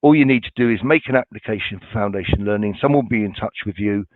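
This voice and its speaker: A man's voice: fairly low pitch, quick pace, dry recording. A man from a college staff answering viewer questions in a webinar, informal and reassuring, with many ums and restarts. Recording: dry, tinny phone-like sound.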